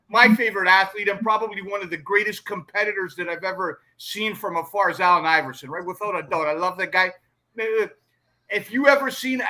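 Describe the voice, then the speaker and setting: A middle-aged man hosts a casual sports interview in a high, very sharp, forceful voice. He talks like an eager fan, rambling and often checking that the listener agrees. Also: poor audio. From Toronto, Canada. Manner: rambling, enthusiastic fan talk, tags points with "right?". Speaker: sports show host.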